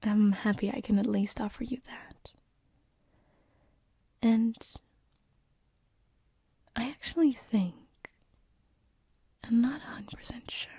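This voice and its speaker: A woman voicing a gentle, lovesick succubus sleep paralysis demon in a whispered ASMR roleplay, soft, hesitant and tender, close to a binaural microphone. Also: on the high side, dry recording, exaggerated voice. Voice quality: breathy whisper. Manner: soft, hesitant, tender, with breathy giggles and sighs.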